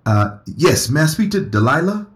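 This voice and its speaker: A man acting as a confident, flirtatious senator in an audio drama, courteous and smooth-talking. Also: a little high, big pitch swings, normal speed. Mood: playful. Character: smitten, charming male senator, confident and flirtatious.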